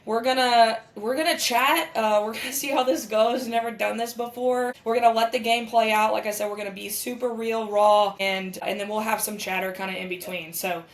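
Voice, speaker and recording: A woman in her thirties with a raspy voice, co-hosting and giving casual live sports commentary in an enthusiastic, candid way. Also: fairly high pitch, fairly even intonation, a little fast, few pauses. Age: 30s. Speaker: podcast co-host and amateur sports commentator. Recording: roomy echo, distant from mic.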